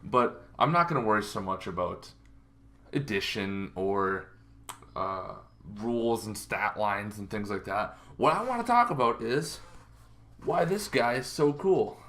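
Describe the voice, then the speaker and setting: A man's voice: fairly low pitch, animated, measured pace, a bit bright. Casual, emphatic man hosting a vlog. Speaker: host of a tabletop gaming video series. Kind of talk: casual enthusiastic explainer to camera.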